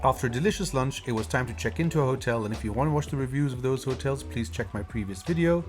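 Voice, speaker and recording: A man, a travel vlogger, narrating a voice-over in a relaxed, informative way. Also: mid-range voice, fast talker. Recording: voice-over with guitar music. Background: guitar music.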